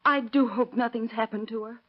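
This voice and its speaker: An actress playing an anxious, hesitant woman, halting, polite and apologetic, in 1930s radio drama style. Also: fairly high pitch, fairly even intonation, over music, lightly acted. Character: anxious, hesitant woman seeking her missing sister. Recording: dull vintage radio drama recording.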